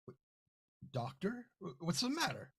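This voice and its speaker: A man's voice: high voice, wildly swooping pitch, unhurried, gravelly, a bit bright, slightly rough, no echo, studio quality, heavily acted. A man playing an anxious, confused, stammering widower in an old-time radio horror play. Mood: worried. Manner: stammering, theatrical.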